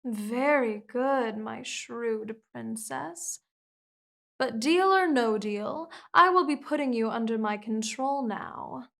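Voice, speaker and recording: A woman's voice: a little high, slow pace, vocal fry, fairly bright tone, exaggerated voice. A woman voicing a commanding, seductive female vampire queen in an ASMR hypnosis roleplay, speaking in a soothing, controlling way.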